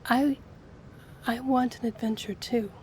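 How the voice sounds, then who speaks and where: A woman's voice: a little high, steady tone, unhurried, warm tone, close to an everyday voice. Friendly young woman over background ambience acted by a woman. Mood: earnest, warm. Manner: chatty, earnest, flustered hesitations when romantic. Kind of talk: intimate audio roleplay addressed to the listener. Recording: clear background ambience noise.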